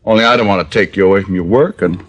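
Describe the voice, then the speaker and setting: An actor playing a detective who puts on a bumbling country bumpkin from rural Arkansas, folksy and halting, in a 1950s radio drama. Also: male voice, low voice, a bit bright. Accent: put-on rural Arkansas drawl. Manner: halting, folksy, full of uh's.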